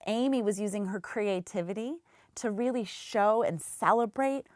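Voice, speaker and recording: A woman's voice: mid-range voice, normal speed, dry recording, studio quality. A woman hosting a children's read-aloud, speaking to her listeners in an upbeat way. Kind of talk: upbeat talk to children and story reading.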